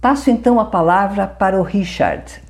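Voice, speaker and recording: A woman's voice: mid-range voice, normal speed. A woman hosting a podcast, giving an enthusiastic scripted introduction to her guest. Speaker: podcast host.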